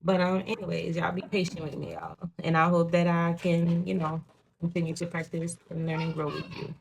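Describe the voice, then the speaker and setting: A woman's voice: lowish voice, little pitch movement, normal speed, vocal fry, slight background noise. A young woman with a Haitian Creole accent, a native Creole speaker, giving a casual tarot reading in blunt, profane talk full of "you know what I'm saying". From Haitian home. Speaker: tarot reader.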